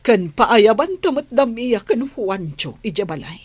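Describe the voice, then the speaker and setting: A woman as a husky, emotional mother. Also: on the high side, very animated, unhurried, no room sound, exaggerated voice. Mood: distraught. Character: emotional wealthy mother searching for her true daughter. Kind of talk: Filipino radio drama acting.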